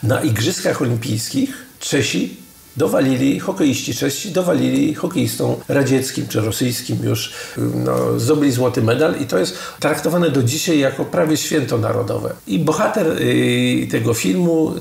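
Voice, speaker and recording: A man co-hosting a culture podcast, talking wryly with halting restarts. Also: mid-range voice, vocal fry, a little mellow, a little hiss or hum. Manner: wry, ironic, halting restarts. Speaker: podcast co-host, culture critic. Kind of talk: casual conversation about films. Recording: echoey room.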